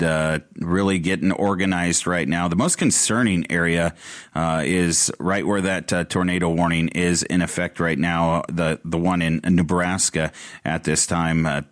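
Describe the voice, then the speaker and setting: A man hosting live radio storm coverage, ad-libbing in a halting, urgent way. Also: low-pitched. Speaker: radio host covering severe weather.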